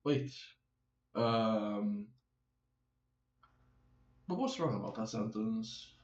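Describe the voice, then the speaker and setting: A man chatting casually on a live stream, rambling and haltingly thinking aloud. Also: fairly low pitch, lively intonation, highs cut off. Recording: roomy echo, some background noise.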